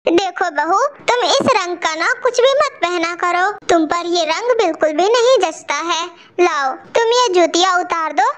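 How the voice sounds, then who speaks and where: A woman voicing a petty, two-faced older mother-in-law bird in a Hindi cartoon story, nagging and bossy. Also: extremely high pitch.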